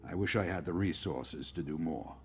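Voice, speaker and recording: An actor playing a weary, dutiful middle-aged legion commander in a video game, speaking in a measured, formal, authoritative way. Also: male voice, low voice, soft background music. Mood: stern, businesslike. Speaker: game character, military commander. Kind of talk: scripted video game dialogue.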